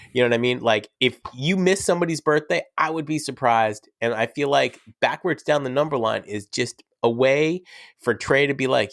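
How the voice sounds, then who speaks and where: A man's voice: mid-range voice, vocal fry, fairly bright tone, dry recording, studio quality. A young man, sick, talking casually and enthusiastically as a podcast co-host, rambling and teasing his friend. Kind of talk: casual fan chat.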